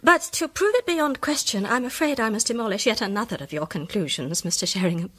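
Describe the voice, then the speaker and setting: A woman's voice: on the high side, lively intonation, brisk, fairly bright tone. A woman playing a poised, incisive lady amateur detective in a radio drama, precise and formal. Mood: assured, incisive. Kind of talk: British radio drama acting.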